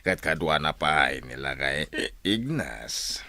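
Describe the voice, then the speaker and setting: An actor with a Filipino accent playing a commanding, menacing, proud general in a radio drama. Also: male voice, extremely low pitch, lively intonation, takes it slowly, bright, forceful tone, heavily acted. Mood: grim, angry.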